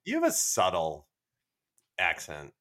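Man, Midwestern American accent, slightly low pitch, bright forceful tone, casual teasing podcast co-host. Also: huge pitch swings, a little slow. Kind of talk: casual joking banter.

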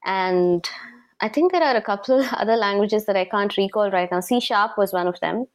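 A woman's voice: mid-range voice, normal speed, smooth. A young woman from South India, an interview guest, explaining things earnestly in a casual chat with plenty of fillers.

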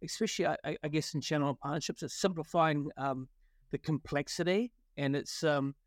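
Man, New Zealand accent, forties, fairly high pitch, halting with lots of fillers, dry close sound. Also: no echo. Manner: hesitant, many fillers and restarts. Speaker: guest, partnership consultant. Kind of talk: podcast interview.

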